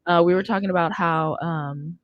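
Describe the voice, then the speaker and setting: A woman's voice: fairly low pitch, normal speed, highs cut off, slight background noise. A young adult woman hosting a podcast, chatting casually into a close studio microphone. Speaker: podcast host. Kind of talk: casual chat. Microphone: close studio mic on boom arm.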